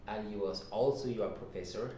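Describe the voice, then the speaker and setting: Low-voiced Sudanese man in echoey room. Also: a little fast, warm tone. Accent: Sudanese Arabic. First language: Arabic. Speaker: podcast host. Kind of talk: informal interview. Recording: echoey room, distant mic, background noise.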